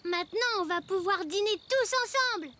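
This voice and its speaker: A woman's voice: very high-pitched, animated, moderate pace, sharp tone, slight background noise, exaggerated voice. A woman voicing a sweet, eager young animal child in a children's cartoon, cheerful and full of wonder.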